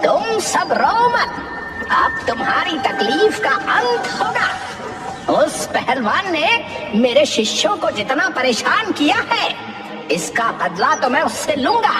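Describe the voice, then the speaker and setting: A woman voicing a protective, grandiose guru of ghosts in a Hindi cartoon, with drawn-out speech. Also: high voice, sing-song, reverberant, in a large hall, distant mic, loud music behind the voice, a loud crowd, exaggerated voice. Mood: vengeful.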